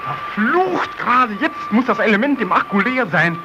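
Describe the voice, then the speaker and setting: A man's voice: very high-pitched, big pitch swings, moderate pace. A male actor playing a desperate radio operator stranded on polar ice in a vintage radio play, cursing and calling out. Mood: frustrated despair. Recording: noisy, dull old radio recording.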